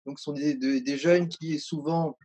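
A man's voice: on the high side, fairly even intonation, a little slow, warm tone, husky. A man in his twenties making an articulate, earnest point as a student guest in a video debate. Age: 20s. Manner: formal, earnest. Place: indoor room, video call.

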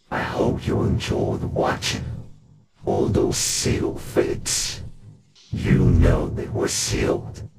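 A man voicing a menacing, sadistic animatronic rabbit monster with a growling rasp, taunting in drawn-out horror-game villain lines. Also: low-pitched, full character voice. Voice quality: gravelly, growling, breathy rasp. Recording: music under the voice.

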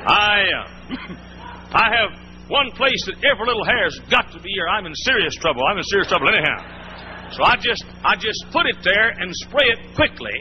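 High-voiced folksy preacher on muffled tape. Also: male voice. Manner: folksy, emphatic, repetitive. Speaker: pastor. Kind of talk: sermon. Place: church. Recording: muffled old sermon tape, faint hiss.

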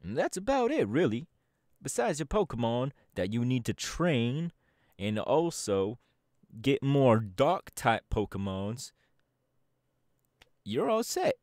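A man's voice: mid-range voice, big pitch swings, slow, pauses often, a little mellow, dry recording, clean studio sound. A man voice acting a laid-back young male DJ gang boss in an ASMR audio roleplay, talking in an easygoing, chatty drawl. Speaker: voice actor.

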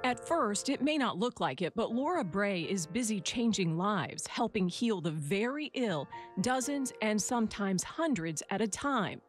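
Female American reporter, mid-pitched, scripted human-interest narration over faint music. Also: animated, a little slow. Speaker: news reporter. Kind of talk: scripted news feature narration. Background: music under narration.

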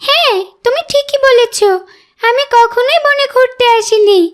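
A tiny, restless girl duckling in an animated fairy tale. The voice is extremely high and childlike, with a naive, pleading, headstrong way of talking. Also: moderate pace. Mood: curious.

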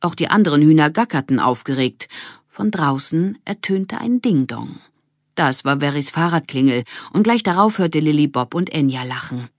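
Woman reading kids audiobook, expressive storyteller, dry sound no room. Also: deep voice, moderate pace, no room sound. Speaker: audiobook narrator. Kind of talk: scripted children's story narration.

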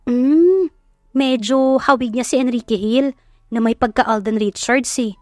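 A woman voicing a feisty young eldest sister in an audio drama, sassy, grumbling and expressive. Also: fairly high pitch, a little slow, no echo, clean studio sound, soft background music, voice pushed up, natural voice. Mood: grumbling, smitten.

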